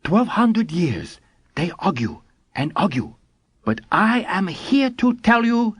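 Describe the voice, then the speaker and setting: A man's voice: high-pitched, huge pitch swings, slow pace, husky, no echo, studio quality, voice pushed up, full character voice. A man voicing an older scholar who lectures in broken English. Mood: lecturing. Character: shy, smiling older male Japanese Zen scholar. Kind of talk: audiobook character voice.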